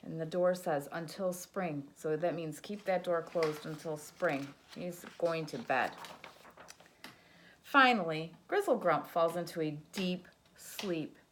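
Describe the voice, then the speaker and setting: A woman's voice: low voice, lively intonation, slow, a little hiss or hum. A woman teacher reading a children's picture book aloud, doing sound effects and asking her young listeners questions.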